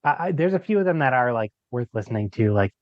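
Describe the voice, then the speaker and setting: A man's voice: mid-range voice, expressive, quick pace, no room sound. Guy guesting on a podcast, informal chatty talk, dry kinda lo-fi mic sound. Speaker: podcast guest, creator of an audio drama. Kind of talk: casual chat.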